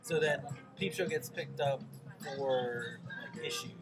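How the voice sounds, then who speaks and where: A man hosting a podcast, asking relaxed, prompting interview questions. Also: on the high side, unhurried, over music. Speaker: podcast host.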